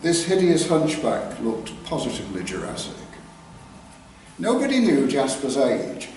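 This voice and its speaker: An older man with an English East Midlands accent, telling a story live with careful diction and dramatic pauses. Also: medium pitch, wildly swooping pitch, a little mellow. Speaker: author reading his own work. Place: live reading in a theatre clubroom. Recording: very echoey hall, distant mic, background noise.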